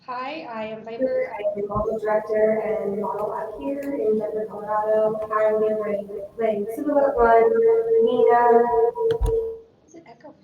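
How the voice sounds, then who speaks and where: A woman's voice: on the high side, fairly even intonation, measured pace, distant mic, music in the background. A woman chatting casually and introducing herself to a group at a table read. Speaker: model and actor in a table read. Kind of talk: casual self-introduction and chat. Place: echoey indoor room with a group. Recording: dull echoey room, loud chatter, off-mic.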